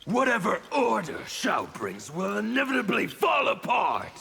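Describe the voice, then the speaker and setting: A man voicing a fanatical anarchist warrior in a fighting game, with a harsh gravelly rasp and a theatrical, taunting, defiant delivery. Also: sits high, wildly swooping pitch, measured pace, bright, forceful tone, shouting, heavily acted. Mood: preaching, fierce. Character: fanatical male anarchist warrior preaching chaos and freedom. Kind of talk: fighting game character acting. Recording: reverberant hall, background noise.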